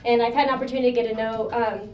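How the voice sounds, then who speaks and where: A woman's voice: fairly high pitch, level tone, rapid-fire, highs cut off. Woman, school staff, hesitant introduction, echoey room, distant mic, faint noise. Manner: hesitant, many ums. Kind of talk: ceremony introduction.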